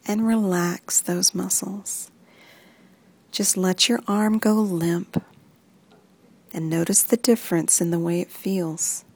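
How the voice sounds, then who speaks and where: A woman reading a guided muscle relaxation script in soft, even instructions that often sink into a whisper. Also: lowish voice, takes it slowly, long pauses, slightly rough.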